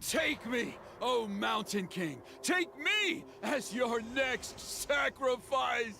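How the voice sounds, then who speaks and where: An actor playing a zealous, ruthless old village elder in a video game, with a strained, grandiose delivery. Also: male voice, very high voice, expressive, slow, gravelly, noisy background, exaggerated voice. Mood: fanatical. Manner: grandiose, declamatory.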